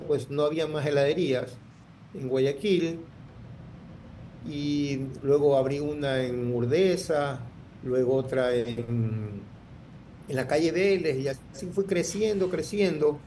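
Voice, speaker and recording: A man's voice: a little high, dark tone, a little hiss or hum. A man, telling his story in an interview, rambling with many restarts. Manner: rambling, full of restarts and fillers. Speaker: guest entrepreneur.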